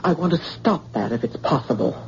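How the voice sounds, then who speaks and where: A quick-witted doctor under threat, answering in tense, measured tones. Her voice is mellow and dark but moves widely in pitch. The old radio recording is dull and faintly hissy. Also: animated. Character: woman doctor held at gunpoint, quick-witted. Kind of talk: 1940s radio acting.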